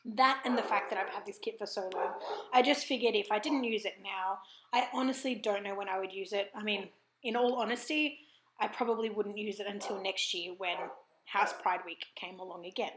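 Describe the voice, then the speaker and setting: An Australian woman with a croaky, husky throat, chatting casually and apologetically in a voiceover for her planner vlog at home. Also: medium pitch, a little fast, reverberant, background noise. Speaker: planner vlogger.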